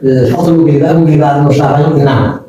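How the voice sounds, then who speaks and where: A man's voice: on the high side, fairly even intonation, very fast, mellow, a little hiss or hum. A man, reflecting as an interview guest and often restarting his sentences. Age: 70s. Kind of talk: casual interview talk. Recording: echoey room, distant mic.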